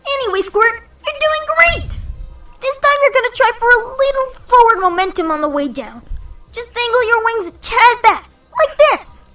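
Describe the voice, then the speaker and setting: A very high, brash pegasus coach. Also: female voice, animated, moderate pace, bright, forceful tone, soft background music, exaggerated voice. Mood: confident, coaching. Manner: cocky, encouraging, instructing. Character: brash, confident female pegasus pony flight coach.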